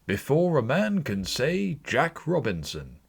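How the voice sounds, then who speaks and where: A man's voice: medium pitch, wildly swooping pitch, slow pace, gravelly, background noise, pitched above the performer's own voice, heavily acted. A man voicing a jolly, exuberant old Victorian master in an audiobook, calling out heartily. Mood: jolly, rousing. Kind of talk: audiobook character voice.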